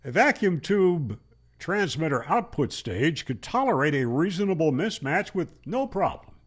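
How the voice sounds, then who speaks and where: A man hosting a hobby radio channel, explaining and telling stories in a folksy, conversational way. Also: a little high. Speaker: ham radio channel host. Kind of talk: explanatory storytelling to camera.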